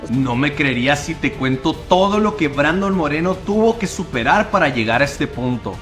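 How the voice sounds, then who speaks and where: A man's voice: on the high side, animated, normal speed, fairly bright tone. A man narrating a documentary-style video in a dramatic storytelling voice. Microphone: voice-over. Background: background music.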